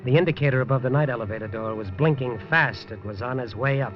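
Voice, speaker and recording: A man's voice: medium pitch, quick pace, natural voice. An actor narrating as a wisecracking male newspaper reporter in a 1950s radio drama, dry and hardboiled. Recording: dull lo-fi 1950s radio broadcast with music.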